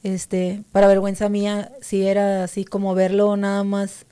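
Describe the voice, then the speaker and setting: Female guest from Mexico, warm mellow tone, hesitant testimony with frequent pauses. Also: medium pitch, completely flat delivery, clear, resonant tone. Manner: hesitant, many fillers and restarts, mellow. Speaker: interview guest. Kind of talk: personal faith testimony.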